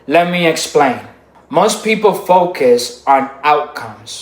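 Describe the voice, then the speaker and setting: A man from the Dominican Republic giving a scripted motivational speech in short, punchy, emphatic sentences with deliberate pauses. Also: a little high, lively intonation, roomy sound. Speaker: motivational speaker.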